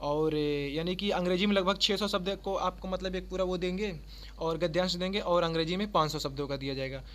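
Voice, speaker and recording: A man's voice: high-pitched, a little fast, a bit bright. A man from giving an informal, instructional explainer as an online exam-prep presenter, restating points and adding little check-in tags. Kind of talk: informal explainer of job notices. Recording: faint background noise.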